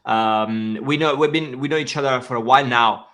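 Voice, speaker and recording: Male podcast host, friendly and halting, mid-pitched. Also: bright, forceful tone. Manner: friendly, halting with many fillers. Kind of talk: podcast interview.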